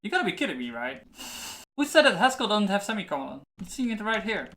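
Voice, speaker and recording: Bright tense voice, live-coding streamer, mutters half-finished thoughts, casual chatty, keyboard typing and faint hiss behind. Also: mid-range voice, very animated, fast talker. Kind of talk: thinking aloud while programming.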